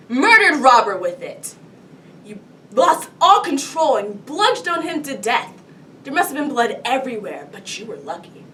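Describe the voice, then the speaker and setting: Woman playing a cocky detective, far from mic. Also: bright, forceful tone. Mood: taunting, insistent. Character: cocky police detective laying out a murder to a suspect. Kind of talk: comedy TV monologue performed solo. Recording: distant mic, rumbling background noise.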